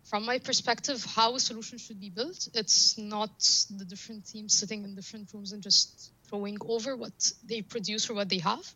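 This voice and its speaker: A woman's voice: medium pitch, fairly even intonation, moderate pace, hardly any pauses, sharp tone, breathy edge, rough recording. A woman whose first language is Arabic, explaining her work earnestly as an interview guest, often through analogies. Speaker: guest, data science professional.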